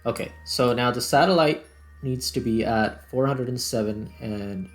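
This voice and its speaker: A man's voice: medium pitch, moderate pace. A man hosting a gaming video, talking casually and thinking aloud step by step as he plays. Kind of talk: casual let's play commentary. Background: background music.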